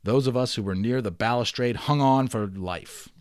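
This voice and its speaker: A man giving an expressive, enthusiastic dramatic reading of a children's novel, drawing out key words and slipping into casual asides. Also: mid-range voice, normal speed, slight background noise. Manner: expressive, enthusiastic storyteller, emphasizes and draws out words. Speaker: amateur audiobook reader.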